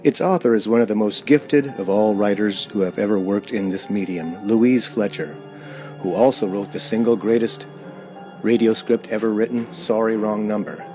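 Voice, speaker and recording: Vintage radio thriller announcer, played by a man: solemn, measured, fairly low. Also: fairly even intonation, darkish tone, soft background music, lightly acted. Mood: solemn, ominous. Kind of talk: old-time radio announcing.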